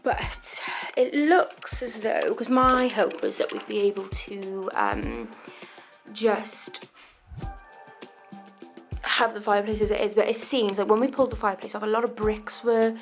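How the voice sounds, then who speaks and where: A woman vlogging casually about renovating her living room, chatty and rambling, her voice croaky from dust. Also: medium pitch, expressive, measured pace, over music. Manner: chatty, rambling, laughs often. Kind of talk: casual chatty vlog to camera.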